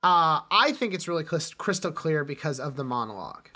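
A man co-hosting a casual film discussion, emphatic but often halting as he searches for words. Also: a little high, very animated, sharp tone. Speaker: podcast co-host.

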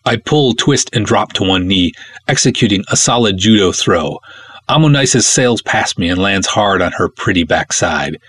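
A man's voice: on the low side, animated, moderate pace, fairly bright tone, breathy edge, dry recording. An American man from Minnesota with a playful, theatrical manner, reading and hosting expressively as a podcast host. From Minnesota, United States.

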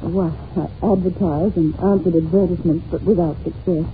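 Muffled radio-era young governess played by an actress. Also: female voice, on the low side, fairly even intonation, normal speed, close to an everyday voice. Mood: earnest. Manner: polite, earnest, proper. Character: anxious, well-bred young English governess. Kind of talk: old-time radio drama acting. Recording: muffled, noisy old-time radio recording.

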